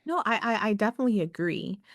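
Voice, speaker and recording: A woman's voice: medium pitch, animated, quick pace, dry recording, studio quality. Chatty woman author guest. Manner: chatty, with many likes and ums, laughs easily. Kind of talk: podcast interview.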